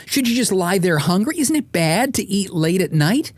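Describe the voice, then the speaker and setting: A man's voice: high voice, very animated, moderate pace, no room sound. A man reading a scripted audiobook as its author, telling it in a wry, comic way with exasperated emphasis. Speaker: author and podcast host narrating.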